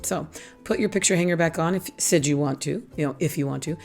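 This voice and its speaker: A hoarse, raspy-voiced middle-aged woman hosting a casual craft tutorial, chatty and teasing herself as she explains each step. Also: low-pitched, fast, darkish tone, faint music behind. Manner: chatty, self-teasing, laughs at her own jokes. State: hoarse, losing her voice. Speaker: craft channel host.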